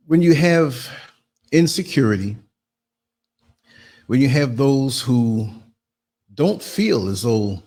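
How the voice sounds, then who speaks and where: A man in his late fifties with a husky voice, hosting an informal motivational livestream monologue and repeating phrases for emphasis. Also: mid-range voice, darkish tone. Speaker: livestream host and podcaster.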